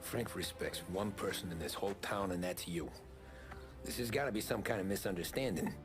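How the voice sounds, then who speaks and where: A man's voice: sits low, fairly quick, natural voice. An actor playing an earnest, tough mob henchman in a video game cutscene, terse and direct. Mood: concerned. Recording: music behind the voice.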